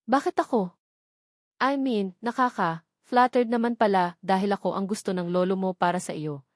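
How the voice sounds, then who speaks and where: A woman's voice: mid-range voice, normal speed, no room sound, studio quality, lightly acted. A woman voicing a proud, sarcastic young woman with a challenging, dismissive way of talking in an audiobook. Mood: teasing.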